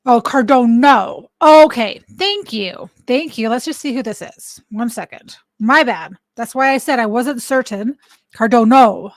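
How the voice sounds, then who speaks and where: A high-pitched woman hosts a casual livestream and talks things through as she searches for information. She corrects herself often and stops mid-thought. Her voice is close and dry, with no room sound. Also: measured pace, dry recording. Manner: thinks aloud, stops to look things up, self-correcting. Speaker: livestream host.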